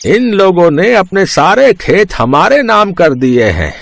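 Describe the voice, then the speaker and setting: A man playing a corrupt, blustering village headman in a dramatized story, indignant and boastful with a creaky voice. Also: high voice, sing-song, dry recording, exaggerated voice. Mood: gloating. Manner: indignant, boastful, drawn-out delivery. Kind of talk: dramatized moral story acting.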